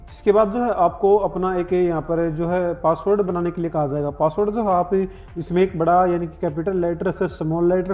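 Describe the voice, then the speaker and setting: A man's voice: high voice, dark tone. A man narrating a step-by-step tutorial in an instructional way, with repeated filler phrases. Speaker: online form tutorial presenter.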